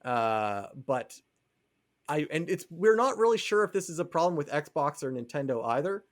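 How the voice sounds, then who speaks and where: A man's voice: on the high side, big pitch swings, moderate pace. A man co-hosting a podcast, talking casually and explaining his opinions on video games. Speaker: podcast co-host.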